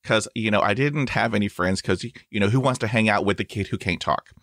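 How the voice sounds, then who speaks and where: A man in his forties with a stutter talks in a lowish voice, repeating sounds and leaning on fillers as he hosts a casual podcast conversation. He is emphatic and upbeat about himself. The sound is dry and close, with no room around it. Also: brisk, a bit bright, dry recording. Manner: emphatic, self-affirming. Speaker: podcast host. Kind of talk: casual interview chat.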